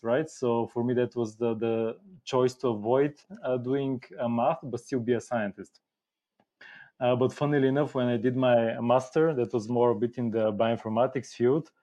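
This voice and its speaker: A man's voice: mid-range voice, warm tone. A man from Bulgaria who speaks natively, a podcast guest talking casually with many fillers. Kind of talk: casual interview.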